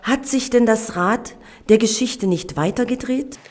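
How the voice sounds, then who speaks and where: A woman narrating a documentary in a measured, solemn reading voice. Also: a little high, darkish tone.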